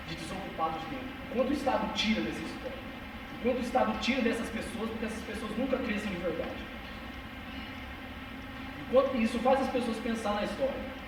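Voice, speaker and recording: A man's voice: high voice, noisy background. A man in his twenties from Brazil, with a São Paulo interior accent, giving a passionate, emphatic live talk laced with street slang. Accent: São Paulo interior Brazilian Portuguese. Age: 20s. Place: cultural center hall. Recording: echoey hall recorded from far off.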